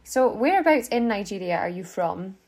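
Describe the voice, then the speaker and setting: A woman's voice: mid-range voice, expressive, moderate pace, darkish tone. A woman hosting a conversational podcast in an informal, encouraging way. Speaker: podcast host.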